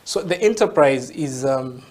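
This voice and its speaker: A man's voice: medium pitch, animated, measured pace. Ugandan male guest; patient, explanatory, conversational. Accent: Ugandan English. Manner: patient, explanatory, many "yeah?" checks. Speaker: guest, tech entrepreneur. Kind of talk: interview answers, explaining.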